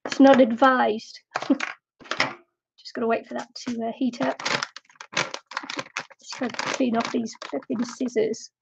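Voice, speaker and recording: A woman's voice: a little high, little pitch movement, a little slow, creaky, long pauses, dull audio, heavy background noise. A middle-aged woman chatting casually while crafting on a live stream, slightly nasal from a cold, rambling and giggling often. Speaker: craft vlogger.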